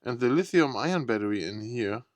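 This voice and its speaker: A man with a medium-pitched voice talks through a product unboxing. His delivery is casual and unscripted. He thinks out loud in short, fragmented remarks, often tentative as he works things out. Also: lively intonation, normal speed, dry recording.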